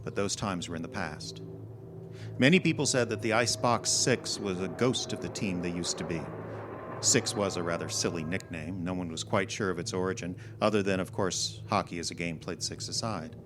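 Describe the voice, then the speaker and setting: Low-voiced man narrating, noisy live recording. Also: normal speed. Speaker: reader of a ghost story. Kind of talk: scripted storytelling, live reading. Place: performance club before a live audience.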